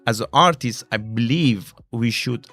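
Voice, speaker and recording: A non-native English-speaking man, a guest on a live talk show, speaking earnestly in halting, broken English. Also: mid-range voice, a bit bright, dry recording. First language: not English. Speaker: guest, actor and model. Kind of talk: casual live show chat.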